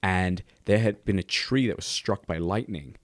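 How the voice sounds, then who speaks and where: A low-pitched man speaking into a dry, close mic. He tells stories in a chatty, animated way, stumbling over and repeating words, while getting over a cold. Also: normal speed, no echo. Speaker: podcast co-host. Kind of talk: casual chat.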